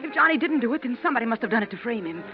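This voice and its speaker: A defiant young femme fatale, American, speaking quickly in an emphatic, high-strung voice. It is vintage radio melodrama on a dull recording with music behind. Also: female voice, lively intonation. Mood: disbelieving. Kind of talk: 1940s radio melodrama acting.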